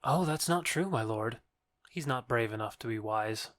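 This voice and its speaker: An actor playing a joking courtier who heckles with teasing quips in a comic audio drama. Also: male voice, mid-range voice, lively intonation, normal speed, fairly bright tone, natural voice. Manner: teasing, quipping. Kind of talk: modernized Shakespeare audio drama comedy.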